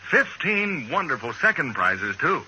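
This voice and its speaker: A man as a 1940s radio announcer, hard-selling in an advertising pitch. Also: big pitch swings, measured pace, sharp tone, muffled recording. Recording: old radio broadcast, faint noise.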